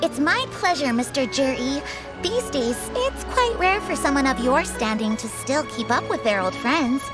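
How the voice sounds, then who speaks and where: Game casting: gracious, flattering antique seller, high voice, animated inflection, music behind. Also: female voice, normal speed. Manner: courteous, flattering, expressive. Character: polite, smooth-talking female antique shop seller. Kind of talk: video game character acting.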